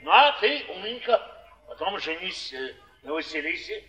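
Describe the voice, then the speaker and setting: A mocking flophouse cynic, high and sharp in voice. He goads in curt stage-play phrases, heard from some distance with echo. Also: sing-song, normal speed, heavily acted. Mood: goading. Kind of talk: theatrical Russian stage acting, mid-century.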